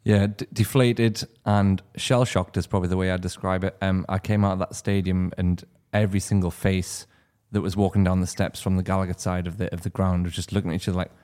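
Male panelist, low voice, emphatic, trips over words. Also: level tone, a little fast, darkish tone. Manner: restarts and stumbles, emphatic. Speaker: podcast panelist. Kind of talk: football podcast discussion.

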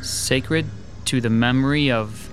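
An actor playing a hesitant, uneasy sketch artist haunted by déjà vu, in classic radio drama style. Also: male voice, medium pitch, slow, noisy background, natural voice.

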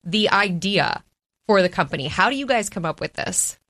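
A woman's voice: mid-range voice, animated, normal speed, a bit bright, no echo. A woman hosting an interview in a studio, chatty and upbeat, laughing often. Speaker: podcast host. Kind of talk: casual interview chat.

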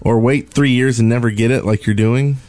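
A man with a medium-pitched voice chats casually as a podcast co-host, recorded dry with little room sound. His talk is teasing banter about games. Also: steady tone, a little fast, darkish tone, no echo.

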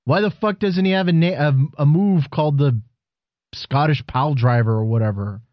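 A man's voice: on the high side, normal speed, dry recording, dull audio. A man co-hosting a casual wrestling chat, joking and rambling, swearing freely. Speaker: podcast co-host.

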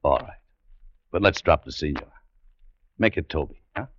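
An actor playing a tough, wary gold-hunting American adventurer in a 1940s radio drama, with a hard-boiled, colloquial way of talking. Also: male voice, a bass voice, animated, moderate pace. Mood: wary, bargaining. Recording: dull 1940s radio recording, music behind.

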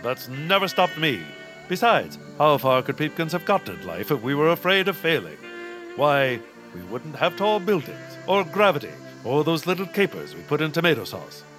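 A man's voice: on the high side, normal speed, music in the background, poor audio. A man voicing a self-assured, slightly dim heroic space adventurer in old-time radio style. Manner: declaiming, self-assured, deadpan absurd.

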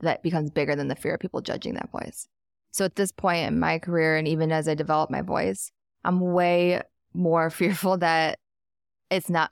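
A woman's voice: low-pitched, vocal fry, dry recording, studio quality. A woman talking in an earnest, reflective and emphatic way as a podcast guest in casual conversation. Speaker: podcast guest, wealth coach.

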